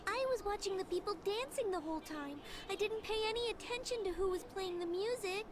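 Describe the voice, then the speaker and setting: A woman's voice: very high voice, fairly quick. An actress playing a prim, polite and earnest young girl in a dubbed fantasy video game. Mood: puzzled. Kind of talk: English anime-style video game dub.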